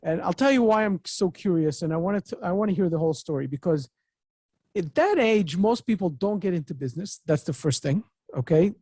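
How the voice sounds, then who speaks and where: A man's voice: high-pitched, brisk, breathy edge, no room sound. A middle-aged man hosting a podcast from a home office with a studio mic, talking eagerly with loose, repetitive phrasing. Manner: excitable, repetitive, non-native phrasing. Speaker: podcast host. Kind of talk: enthusiastic interview chat. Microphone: studio mic on boom arm.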